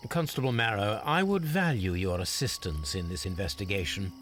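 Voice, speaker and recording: An actor playing a formal, precise gentleman detective with a British accent in a video game. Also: on the low side, normal speed, a little hiss or hum, close to an everyday voice. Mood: investigative. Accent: British English. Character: brisk, commanding male gentleman detective.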